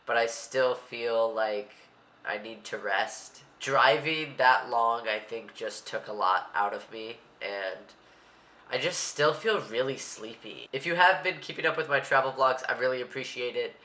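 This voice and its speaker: Young man, congested, rambling and a bit anxious, bright tone in an echoey room. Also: medium pitch, measured pace. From Maryland, USA. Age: young adult. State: stuffy, congested. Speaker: vlogger. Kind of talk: casual vlog monologue.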